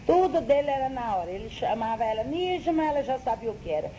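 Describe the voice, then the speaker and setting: A woman's voice: high-pitched, animated, fast talker, dark tone, a little hiss or hum, low-quality recording. A middle-aged woman recalling her family history in an interview, explaining things carefully and hedging as she reasons aloud. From Brazil. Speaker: interviewee, daughter.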